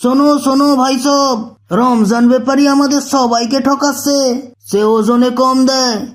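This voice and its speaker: A man's voice: very high-pitched, fairly even intonation, unhurried. A man voicing a jealous, scheming shopkeeper in a children's moral cartoon, speaking in a commanding, declamatory way. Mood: accusing. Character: scheming, jealous male shopkeeper, bossy and accusing.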